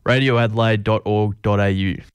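Male announcer, smooth and resonant, slightly low pitch, dry studio sound, scripted appeal. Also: fairly even intonation, fairly quick, no room sound. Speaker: radio promo announcer.

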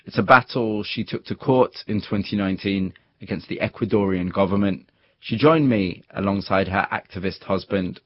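Male radio host, lowish voice, calm scripted narration, muffled dry studio audio. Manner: measured, storytelling. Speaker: radio interviewer and presenter. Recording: dull muffled broadcast audio.

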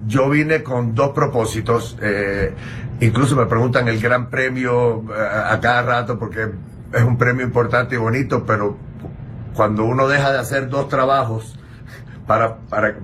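A man's voice: mid-range voice, steady tone, normal speed, a bit bright, a little hiss or hum. Puerto Rican man rambling with restarts. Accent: Puerto Rican Spanish. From Puerto Rico. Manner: rambling, many restarts and fillers. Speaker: interview guest. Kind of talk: casual chat.

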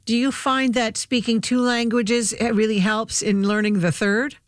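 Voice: mid-range voice, level tone, normal speed, a little hiss or hum. A call-in radio host who keeps the talk moving with frequent "mm-hmm"s and hesitant "uh"s. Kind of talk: hosting a call-in radio show.

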